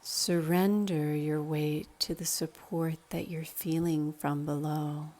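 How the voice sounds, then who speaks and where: A woman leading a guided meditation in a calm, measured, instructional manner. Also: deep voice.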